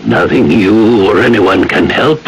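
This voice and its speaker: A man's voice: lowish voice, measured pace. An actor playing a weary, broken older man in a radio drama, childlike and fearful, with a wandering, plaintive way of talking. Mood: weary, bewildered. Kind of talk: old-time radio drama acting. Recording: noisy old radio drama recording.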